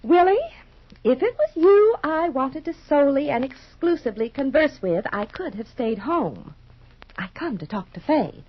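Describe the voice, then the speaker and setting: A woman's voice: high voice, lively intonation, moderate pace. A woman playing a nosy, chattering, easily offended married sister in a 1950s radio soap opera, folksy and fussy. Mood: indignant. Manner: gushing, folksy, ungrammatical, fussy. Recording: muffled vintage radio recording.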